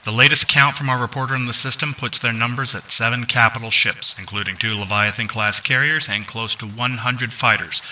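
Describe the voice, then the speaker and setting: A man acting as a sci-fi radio drama news anchor, reading a sober war bulletin in a formal, measured way. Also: medium pitch, fairly even intonation, bright, forceful tone. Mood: sober, urgent. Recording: distant, hissy, noisy broadcast sound.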